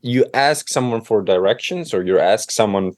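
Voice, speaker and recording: Give me a relaxed Swedish-accented man on a dry call mic, talking as a guest. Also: on the low side, moderate pace, no room sound. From Sweden. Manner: relaxed, loose, leans on fillers. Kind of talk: casual interview. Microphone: remote call.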